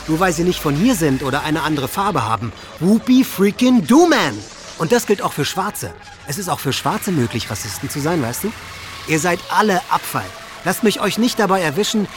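An actor playing a hateful, volatile teenage high-school boy with a contemptuous manner, in a radio documentary drama. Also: fairly bright tone, slight background noise. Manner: ranting, rhetorical, contemptuous. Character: hateful, volatile teenage male high-school shooter reading his writings. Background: music.

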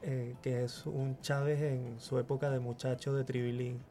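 A man's voice: mid-range voice, little pitch movement, moderate pace, warm tone, soft background music. A man in his forties, born in Chile, speaking as a guest muralist in a radio interview, often repeating words as he searches for them. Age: 40s. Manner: hesitant, repeats words while thinking.

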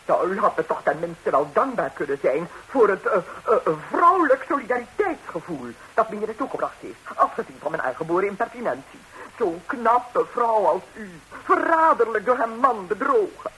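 An actress playing an impulsive, impertinent gossiping busybody in a 1960s radio comedy, exclaiming theatrically on a hissy recording. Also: female voice, mid-range voice, big pitch swings, moderate pace, few pauses, fairly bright tone, low-quality recording. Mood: prying, scandalized. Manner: exclamatory, indignant, dramatically swooping delivery.

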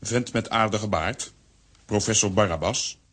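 A man's voice: on the low side, a little slow, a bit bright, slightly rough. An actor voicing a brawny, placid caveman strongman who talks in clipped, childlike broken phrases in a children's audio drama. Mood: gruff, calm. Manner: speaks in broken telegram phrases, refers to himself by name. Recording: very noisy, clicky old audio recording.

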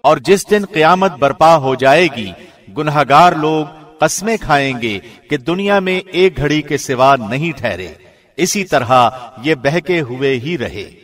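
Calm man reading a studio narration. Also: a little high. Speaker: translation narrator. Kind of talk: scripted narration. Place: recording studio.

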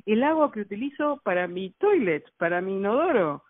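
A woman's voice: mid-range voice, sing-song, normal speed, no room sound. Middle-aged woman, musing, opinionated talker, dry lo-fi phone quality. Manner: reflective, opinionated, uses filler "o sea". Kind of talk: casual radio conversation. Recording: dry phone-line sound.

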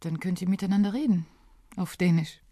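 An actress playing a relaxed, accommodating wife in a radio drama, speaking in an easy, suggesting tone. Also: female voice, on the low side, brisk, no room sound, studio quality, natural voice. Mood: relaxed, wry.

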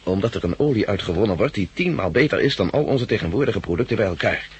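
An actor playing a brisk, authoritative head of research with a probing, businesslike manner in a 1960s Dutch radio drama. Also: male voice, on the low side, lively intonation, breathy edge, full character voice. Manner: probing, businesslike, clipped questioning.

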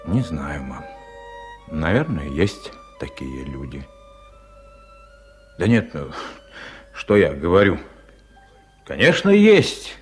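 A man's voice: rumbling low, big pitch swings, slow, long pauses, soft background music, heavily acted. An actor playing an ailing, lonely middle-aged village man in a 1970s radio drama, speaking humbly and hesitantly, as if confiding.

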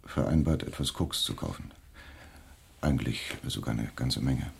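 A deep-voiced, slightly rough male schemer in a radio play. He is nervous and evasive, haltingly justifying himself as someone afraid for his life. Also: heavily acted. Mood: sheepish, confiding. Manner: hesitant, halting, self-justifying. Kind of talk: German radio drama acting.

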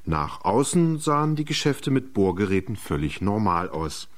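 Deep-voiced man narrating a documentary. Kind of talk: scripted explanatory radio narration.

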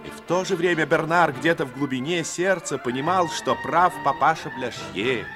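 An actor narrating a 1950s radio drama in a measured, literary and emotive manner. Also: male voice, a little high, lightly acted. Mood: solemn, dramatic. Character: solemn male storyteller of a radio drama. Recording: vintage radio drama over music.

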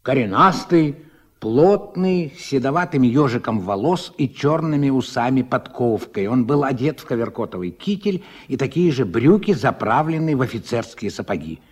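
A man narrating a radio play in a scripted, dramatic storytelling style with a husky voice. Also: mid-range voice, lively intonation, normal speed.

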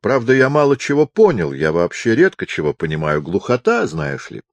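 A man's voice: mid-range voice, big pitch swings, normal speed, gravelly, no room sound, pitched above the performer's own voice. A man voicing a kindly, oblivious old chaplain who is hard of hearing and bellows cheerfully in an audiobook. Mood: rambling, amiable. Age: older. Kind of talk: audiobook character voice.

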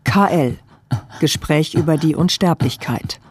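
A woman announcer reading scripted radio credits in a formal, measured manner. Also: low voice, husky, dry recording. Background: prominent plucked guitar music.

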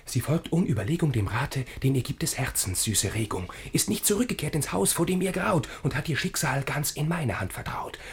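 An actor playing an ardent, naive young gentleman in love, telling his tale exuberantly in rhymed verse for a radio play. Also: a little high, lively intonation, fairly quick, hardly any pauses, a little hiss or hum. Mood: enraptured. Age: young adult.

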